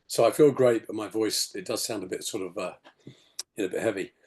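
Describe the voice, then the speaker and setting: A man in his sixties with a gravelly, slightly hoarse voice, rambling through his story on a video call with many "you know"s. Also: fairly low pitch, a little fast, a little mellow. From England. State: hoarse after a detox. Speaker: podcast guest. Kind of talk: casual storytelling interview.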